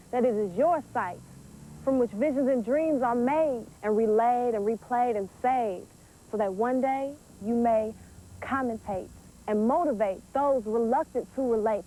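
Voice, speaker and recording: A woman's voice: fairly high pitch, a little mellow, slight background noise, rough recording. An eighteen-year-old American woman, a poet introducing herself and reciting spoken-word verse. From Wisconsin, United States. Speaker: teen poet.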